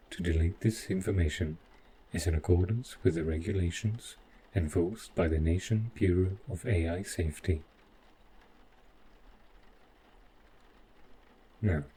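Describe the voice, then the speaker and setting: A man's voice: low voice, warm tone, a little hiss or hum, poor audio. A man playing a polite, devoted robot butler in an audio drama, speaking in a formal, stiffly precise and deferential manner. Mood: apologetic, formal. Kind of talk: scripted roleplay audio drama.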